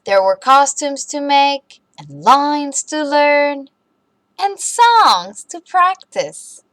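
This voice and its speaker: A woman reading a children's bedtime story aloud in an expressive, deliberate way for young listeners. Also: high voice, no echo.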